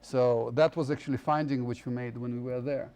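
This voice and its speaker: A middle-aged man with a gravelly, creaky edge to an even, mid-pitched voice. He explains slowly and haltingly, filling gaps with fillers as a studio guest. Recorded on a lapel mic in a TV studio. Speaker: geology professor guest. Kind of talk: explaining on a studio talk show.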